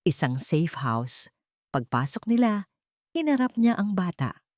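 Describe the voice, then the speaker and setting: A woman with a Filipino accent narrates a scripted melodrama. Her voice sits a little low yet moves through a very animated range of pitch. The recording is dry and close, with little room sound. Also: unhurried, dry recording. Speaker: story narrator.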